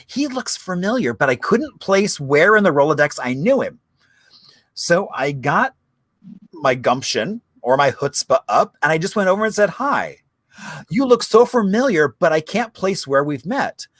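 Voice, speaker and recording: A man's voice: on the high side, fairly bright tone, no echo. A man hosting a virtual history happy hour, telling stories in a chatty, enthusiastic way. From Arizona. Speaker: history show host. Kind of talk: casual livestream chat. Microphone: video call.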